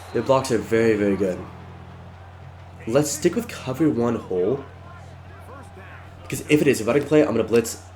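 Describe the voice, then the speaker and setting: Congested man excitedly narrating his gameplay. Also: medium pitch. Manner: excitable, shouts at close plays. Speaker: gaming YouTuber. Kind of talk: live gameplay commentary.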